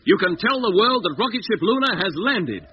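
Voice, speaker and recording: An actor playing a decisive, commanding yet reassuring spaceship captain in a 1950s radio drama. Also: male voice, sits high, expressive, fairly quick. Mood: proud, composed. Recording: dull, noisy 1950s radio recording.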